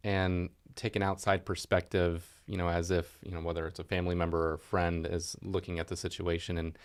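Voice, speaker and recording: Deep-voiced man co-hosting. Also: level tone, brisk. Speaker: podcast co-host. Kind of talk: conversational interviewing.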